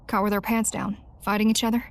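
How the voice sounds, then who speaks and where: Actress doing tired tough rebel boss lady in a game, lots of gravelly fry, blunt. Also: mid-range voice, level tone, quick pace, lightly acted. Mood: grave, recounting. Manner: curt, matter-of-fact. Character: battle-weary, hard-nosed female resistance leader. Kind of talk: video game cutscene dialogue.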